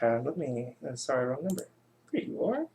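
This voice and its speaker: A man hosting a livestream in casual chat, with a halting delivery that often doubles back. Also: mid-range voice, very animated, darkish tone. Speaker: livestream host.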